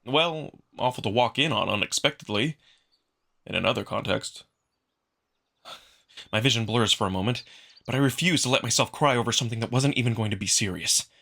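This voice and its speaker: A man giving an expressive, dramatic first-person narration of a visual novel, acting out the narrator's feelings. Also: mid-range voice, fairly quick, vocal fry, long pauses. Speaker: narrator, amateur voice performer.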